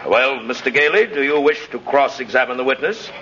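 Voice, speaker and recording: An actor playing a dry, formal, middle-aged courtroom judge in a 1950s radio drama. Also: male voice, medium pitch, expressive, fairly quick, sharp tone. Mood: formal, measured. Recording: dull, noisy vintage radio recording.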